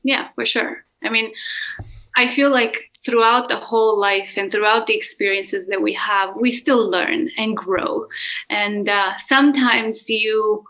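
A woman's voice: mid-range voice, steady tone, a little slow, sharp tone, poor audio. A woman from Romania with a Romanian accent, a relationship coach speaking openly and earnestly about her own experiences. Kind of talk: candid personal interview answers.

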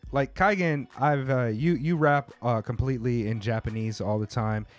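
A man's voice: medium pitch, fairly even intonation, moderate pace, faint music behind. A man hosting a podcast, chatting casually with slang and frequent laughs. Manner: laid-back, slangy, laughs often. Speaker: podcast host. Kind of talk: casual interview chat.

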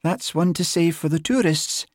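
Man voicing a soft, lilting young Scottish policewoman. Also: fairly high pitch, sing-song, normal speed, creaky, slightly rough, no room sound, studio quality, voice pushed up, exaggerated voice. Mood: amused. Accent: Scottish Hebridean. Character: slim young Scottish policewoman, sweet, teasing, poised.

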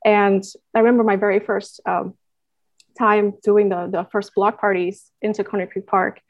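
Earnest woman from puerto rico talking about her work, mid pitch, dry recording. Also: flat delivery, normal speed, no room sound, dull audio. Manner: earnest, many fillers and restarts. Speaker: guest, nonprofit staff member. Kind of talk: conversational interview answer.

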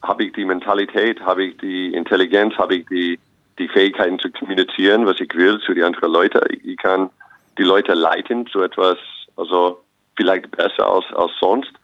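Deep-voiced American man, upbeat coach. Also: fairly even intonation, a little fast, no room sound, lo-fi sound. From United States. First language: English. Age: young adult. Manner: optimistic, earnest, restarts phrases often. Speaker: basketball head coach. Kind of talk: interview guest.

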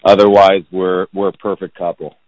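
A man in his thirties from Indianapolis, a real estate agent talking about himself as a podcast guest in a monotone, self-deprecating way. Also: low voice, normal speed, no room sound. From Indianapolis, United States. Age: 30s. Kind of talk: casual podcast interview. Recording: dull, lo-fi sound.

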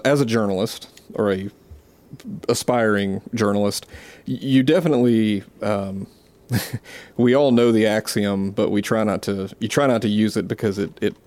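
A man's voice: lowish voice, a little mellow. A man from South Mississippi with a Southern accent, co-hosting a casual podcast chat in a rambling, self-deprecating way with frequent restarts. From South Mississippi, USA. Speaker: podcast co-host. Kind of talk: casual conversation.

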